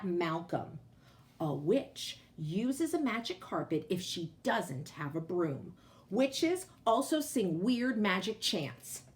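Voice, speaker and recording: A woman reading a children's picture book aloud in an expressive, deliberate storytelling manner, with emphatic phrasing for young listeners. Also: mid-range voice.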